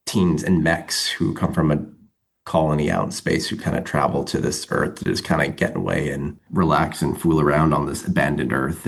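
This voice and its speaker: Very low, gravelly-voiced man chatting casually. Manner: rambling, thinking aloud. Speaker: interview guest, comic writer. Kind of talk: casual interview chat.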